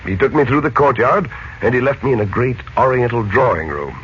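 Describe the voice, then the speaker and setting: An American actor playing a calm, confident middle-aged mystic hero and kindly uncle in a 1940s radio serial, speaking in a measured, reassuring way. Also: male voice, medium pitch, close to an everyday voice. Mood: pensive, explaining. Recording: muffled, noisy late-1940s radio recording.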